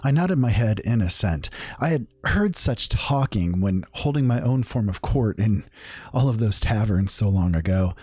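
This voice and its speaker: A man playing a seasoned, thoughtful tavern storyteller, narrating a fantasy audio drama in a measured, formal way. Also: fairly low pitch, expressive, a little mellow, breathy edge, dry recording.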